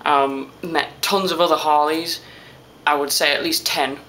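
A woman's voice: low-pitched, fairly even intonation, a little slow, a bit bright, a little hiss or hum, low-quality recording. A young woman from England with a British accent, chatting casually to her camera from a small hotel room, tired and self-deprecating. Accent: British English. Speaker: vlogger. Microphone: camera at arm's length.

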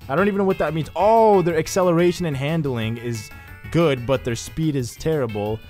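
A young man giving casual, excitable let's-play commentary over his gameplay, chatting with jokey asides. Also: fairly high pitch, brisk, faint music behind. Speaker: gaming YouTuber.

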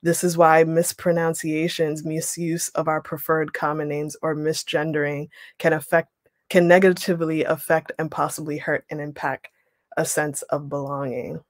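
A young woman leading an online writing workshop, reading a passage aloud and then explaining it with relaxed pauses over a video call. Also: low voice, no echo, clean studio sound. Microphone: webcam on a video call.

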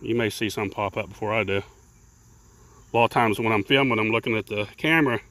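A man's voice: fairly low pitch, moderate pace, fairly bright tone. A man talking casually about beekeeping while working his hives outdoors, rambling and repeating words. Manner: rambling, repeats words, plain folksy speech. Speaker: beekeeper and channel host. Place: outdoors beside beehives in a grassy yard. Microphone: handheld camera held by the speaker.

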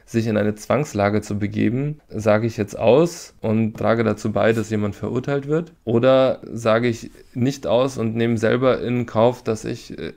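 Male legal expert for podcast interview, low-pitched with plenty of fry, explanatory delivery. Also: darkish tone.

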